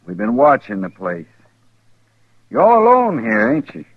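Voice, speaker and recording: Menacing outlaw boss, sharply swinging pitch. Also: male voice, huge pitch swings, normal speed, husky, dry recording. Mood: threatening. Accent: rural American West. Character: cold, menacing male Western outlaw gang leader. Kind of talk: old-time radio western acting. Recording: dry, muffled old radio recording.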